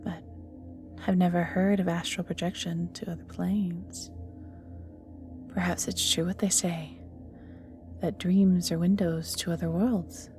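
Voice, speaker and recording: A woman's voice: fairly low pitch, level tone, a little slow, long pauses, mellow. A woman playing an ancient banished evil magical being in an audio roleplay, flirty and world-weary, musing theatrically and often sinking to near-whispers, with music behind. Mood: wistful musing. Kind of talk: scripted audio roleplay acting, addressing the listener.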